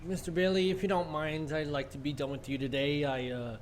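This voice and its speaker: Man as stiff, highish-voiced bank examiner. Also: little pitch movement, brisk, slight background noise, close to an everyday voice. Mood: impatient. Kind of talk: 1940s radio play acting.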